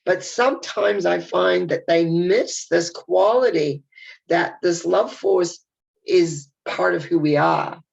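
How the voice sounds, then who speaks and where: An older woman talks through a stuffed-up nose in a chatty, meandering video message. Her voice has a gravelly fry, and the sound is dull and lo-fi. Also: on the low side, slow pace. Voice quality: nasal. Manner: chatty, warm and reflective, with rambling restarts. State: congested from a head cold. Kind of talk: casual spoken video message.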